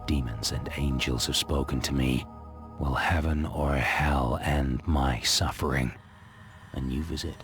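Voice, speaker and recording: An actor playing a cynical, world-weary dead gunman in a video game, narrating his own fight through purgatory in a gritty noir manner. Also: rumbling low. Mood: weary, brooding. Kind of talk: noir inner monologue and game dialogue.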